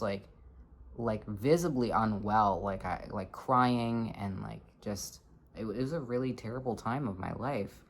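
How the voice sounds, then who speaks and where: A person in their twenties with a low voice, talking earnestly in a halting, reflective personal vlog. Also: a little hiss or hum. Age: 20s. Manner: earnest, halting, searching for words. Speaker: vlogger.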